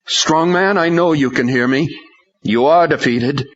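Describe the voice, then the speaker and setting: A man voicing a bold male pastor who forcefully rebukes a demon. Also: fairly high pitch, unhurried, voice pushed up, natural voice. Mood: commanding. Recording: dull lo-fi recording.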